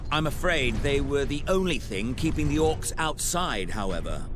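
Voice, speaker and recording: An actor playing an imperious, scheming Inquisitor in a video game, formal and commanding, speaking in precise, curt phrases. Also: male voice, a little high, expressive, moderate pace, noisy background, close to an everyday voice. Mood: composed, precise. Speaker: Inquisitor character. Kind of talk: video game cutscene acting.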